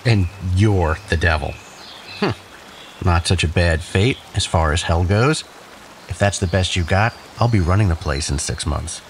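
A man's voice: deep voice, measured pace, slight background noise. A man playing an arrogant, sardonic and defensive man with a flippant manner in an audio drama.

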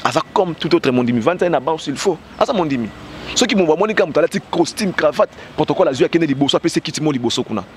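Emphatic Congolese man, higher voice, with a cold. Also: animated, fast, a little hiss or hum. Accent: Congolese French. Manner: passionate, emphatic, heavy "bah" fillers, gestures while talking. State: has a cold. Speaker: interview guest. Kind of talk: street interview, mixing French and Lingala. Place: outdoors on a street by parked cars. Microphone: handheld mic with fur windscreen held by interviewer.

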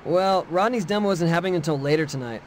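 A man's voice: fairly high pitch, fast, natural voice. An actor playing a friendly, earnest young male skateboarder in casual video game cutscene dialogue. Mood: easygoing. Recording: game audio with faint background noise.

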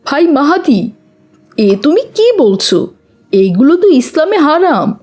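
High, lively, earnest cartoon schoolboy. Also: female voice, unhurried. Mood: earnest admonishing. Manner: earnest, preachy. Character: pious, earnest schoolboy who admonishes his friend. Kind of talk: children's moral cartoon dubbing.

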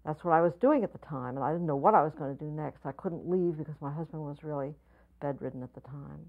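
A woman's voice: low-pitched, fast, narrow, tinny audio. An older woman, a potter, talking reflectively in an interview, often searching for words and repeating herself. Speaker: potter and art school founder. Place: studio or home with pottery shelves.

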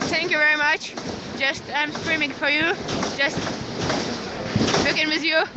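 A high-pitched young woman with a Ukrainian accent talks into her phone outside in the cold. She speaks English slowly, searching for words. Her voice comes through a muffled, lo-fi stream, and loud outdoor noise rumbles behind her. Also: level tone. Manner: halting, searching for words, grateful. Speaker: live streamer. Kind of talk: live street stream, reading comments.

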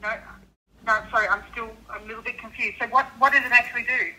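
A woman's voice: on the high side, steady tone, fast, sharp tone. A woman with an Australian accent working as store staff, patiently explaining things on a customer service call. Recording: noisy phone call over speakerphone.

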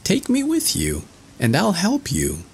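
A man doing tiny, shrill Tom Thumb. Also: wildly swooping pitch, measured pace, creaky, slight background noise, exaggerated voice. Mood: eager. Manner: high, loud and cheeky. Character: tiny brave mischievous boy, thumb-sized.

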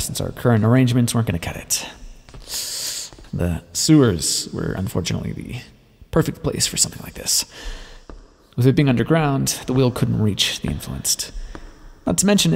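A man plays a brooding vampire who once led rebels, recounting his past in an audio roleplay. The voice is creaky and husky, with dry, bitter sarcasm in a measured, confiding delivery. It rings in a long reverb. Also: medium pitch, a little mellow. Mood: brooding, regretful. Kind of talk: ASMR roleplay monologue.

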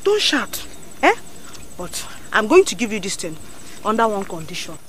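A young woman with a Nigerian accent playing a sassy, scheming village girl, talking in an animated, coaxing, sing-song way. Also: medium pitch, moderate pace. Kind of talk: Nollywood-style drama acting.

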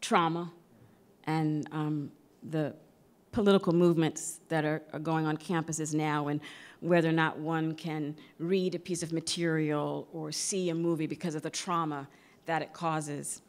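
A middle-aged woman, an actress, speaking off the cuff on a panel with expressive emphasis that moves between loud and hushed. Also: deep voice, a little slow. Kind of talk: unscripted panel discussion. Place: large theatre stage before an audience. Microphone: microphone on a stand, close.

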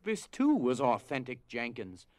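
A man's voice: medium pitch, huge pitch swings, a little slow, a little mellow, no room sound. A man narrating a scripted dramatic story. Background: music.

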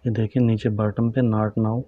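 A man calmly narrating a step-by-step phone tutorial and talking to viewers as friends. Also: lowish voice, a little slow, phone-quality sound, background noise. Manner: calm, instructive, addresses viewers as friends. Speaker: tech tutorial host.

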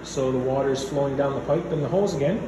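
A man's voice: mid-range voice, quick pace. Man narrating basement plumbing, echoey room. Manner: rambling, matter-of-fact, many fillers. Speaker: DIY home renovator. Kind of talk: casual narration while filming. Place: concrete basement. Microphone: phone camera held while walking. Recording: echoey basement with background noise.

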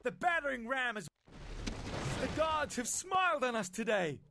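Formal old-world army advisor, high lively voice, game music behind. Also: male voice, moderate pace, vocal fry, noisy background, exaggerated voice. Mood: solemn. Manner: formal, declamatory. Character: male battle advisor announcing in ancient war game. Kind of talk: game voice lines.

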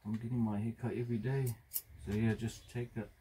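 A man's voice: medium pitch, level tone, fairly quick, mellow, a little hiss or hum. A young man giving a casual step-by-step barber tutorial while cutting hair in a parked van, rambling and joking, with the odd swear.

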